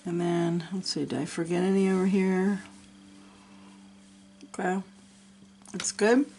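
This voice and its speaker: A woman's voice: fairly low pitch, fairly even intonation, unhurried, warm tone, slight background noise. A middle-aged woman, a little sick and sniffling, chatting casually and encouragingly as she talks through a coloring tutorial. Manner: chatty and encouraging, talks to herself while working.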